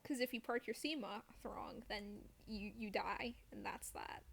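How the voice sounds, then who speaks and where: A woman's voice: mid-range voice, measured pace, gravelly, fairly bright tone, a little hiss or hum. A woman chatting casually as she streams a game, joking in a self-deprecating way. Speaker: streamer playing a video game. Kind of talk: casual chat while gaming.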